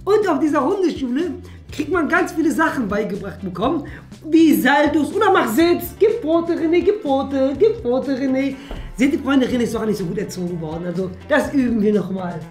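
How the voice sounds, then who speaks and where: A man's voice: very high voice, lively intonation, a little fast. A young man hosting a video, answering viewer questions in playful, teasing casual banter. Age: young adult. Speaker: YouTube host. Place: furnished indoor room, seated at a table. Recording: roomy echo with music underneath.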